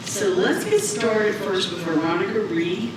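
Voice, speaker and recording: Moderator in echoey, noisy hall. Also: female voice, mid-range voice, big pitch swings. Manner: warm-up, slow, repeats herself. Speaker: panel moderator. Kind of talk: moderating a panel. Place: conference hall. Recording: echoey hall, distant, background noise.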